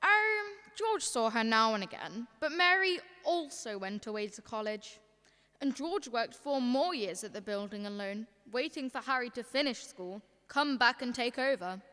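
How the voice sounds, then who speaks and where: A calm heavenly overseer played by a young woman telling a story in a radio play. The voice is bright and a little high, in long reverb. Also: moderate pace. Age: young adult. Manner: explanatory. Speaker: student actor.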